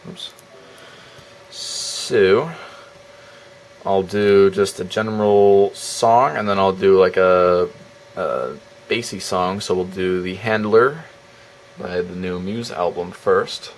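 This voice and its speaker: A man's voice: sits low, slow pace, pauses often, slight background noise. A man giving a casual, off-the-cuff demo as a DIY builder, thinking aloud as he handles his gear.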